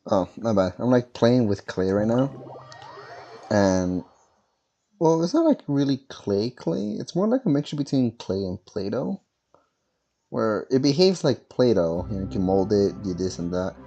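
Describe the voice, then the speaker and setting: A man's voice: fairly low pitch, sing-song, measured pace, mellow, lo-fi sound. A man giving casual, rambling commentary as he streams a game. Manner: rambling, informal.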